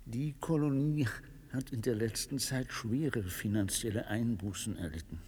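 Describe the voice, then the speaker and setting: An actor playing a pompous, servile wealthy colonial businessman in a radio play, with a husky voice and a bombastic manner. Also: mid-range voice, normal speed, darkish tone, natural voice. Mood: self-important. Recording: echoey hall with long reverb.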